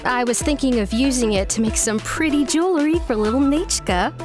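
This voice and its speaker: A woman's voice: high voice, brisk, music in the background, lightly acted. A woman voicing a friendly, kindly villager in an anime video game, with a cheerful manner. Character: friendly, generous village woman who loves ores.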